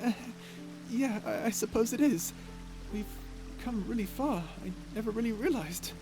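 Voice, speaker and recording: A performer voicing a shy, gentle and curious octopus traveller in an ASMR story roleplay, timid and stammering. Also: medium pitch, moderate pace, music in the background, lightly acted. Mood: shy, thoughtful.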